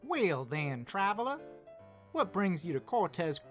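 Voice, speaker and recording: A woman's voice: fairly low pitch, sing-song, unhurried, faint music behind. A woman voicing an eager, wordy male saloon keeper with a showy, folksy manner in a Western visual novel game. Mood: chatty. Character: chatty, eager-to-please male saloon keeper in Wild West.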